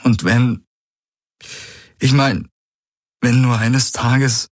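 A man's voice: fairly low pitch, expressive, moderate pace, husky, dry recording, clean studio sound. A man voicing an anguished, devoted man in an audiobook, his voice trembling as he pleads.

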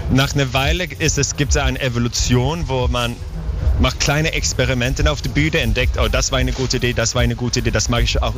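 A Swedish man talks in careful, broken German, pausing often to hunt for the right word. He sounds playful and easygoing. Loud music plays behind him outdoors. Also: mid-range voice. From Sweden. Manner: hesitant, searching for words, playful. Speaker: band frontman guest. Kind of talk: casual festival interview.

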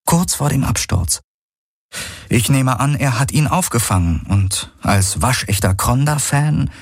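An earnest, resilient woman in a science-fiction audiobook, acted out by a male reader. The voice is pitched up and emphatic, with worry under it. The sound is dry. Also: animated, unhurried, no echo. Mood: reasoning. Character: young adult woman, resilient, earnest, worried space traveller Kirai. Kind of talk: dramatised audiobook dialogue.

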